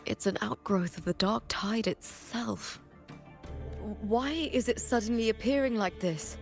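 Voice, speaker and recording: An actress playing a fierce, confident young warrior huntress in a video game, with a bold, dramatic delivery. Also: female voice, on the high side, measured pace, gravelly. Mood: serious, alarmed. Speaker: game character actor. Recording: game dialogue under loud music.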